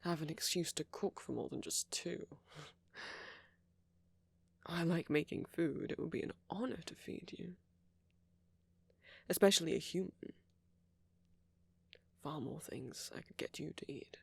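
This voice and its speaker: A man playing a friendly, flirty vampire in an intimate ASMR roleplay, softly spoken, polite and apologetic as he rambles. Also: a little high, a little slow, gravelly, pauses often, husky, no room sound, heavily acted. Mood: friendly, inviting. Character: friendly, flirty, gentlemanly male vampire, chatty and considerate.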